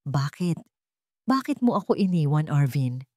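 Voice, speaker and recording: A woman's voice: low voice, big pitch swings, measured pace, mellow, dry recording. A tender, distraught young woman from a romantic audio drama, as a woman narrator plays her. She speaks with pleading emotion, in a very dry recording. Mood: grieving.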